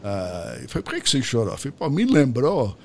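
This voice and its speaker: A man's voice: medium pitch, wildly swooping pitch, fairly quick, crowd noise. Older man hosting, rambling chat. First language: English. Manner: rambling, checks in with "tá?". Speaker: podcast host. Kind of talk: casual interview chat.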